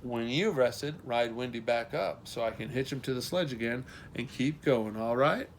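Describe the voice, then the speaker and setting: A man voicing a kind big brother who teases gently and reassures, in a storybook reading. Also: medium pitch, normal speed, slight background noise, close to an everyday voice. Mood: apologetic, brisk. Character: kind, teasing big brother to two younger children.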